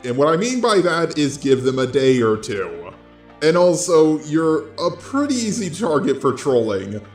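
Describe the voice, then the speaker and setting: A man's voice: a little high, big pitch swings, unhurried. A man delivering informal scripted commentary as an online video commentator. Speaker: YouTube drama commentator. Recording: roomy echo with music underneath.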